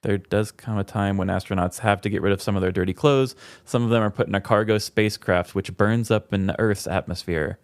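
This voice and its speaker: A man's voice: on the low side, fairly even intonation, a little fast, dry recording. Man chatting on a podcast, dry close sound. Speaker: podcast co-host. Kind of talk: casual comedic chat, reading trivia aloud.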